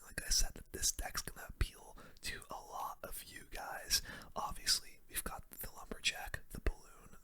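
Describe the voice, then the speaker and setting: Young man whispering relaxed gaming commentary. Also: very high voice. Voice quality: breathy unvoiced whisper. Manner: casual, slangy, unhurried whispering. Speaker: gaming video host.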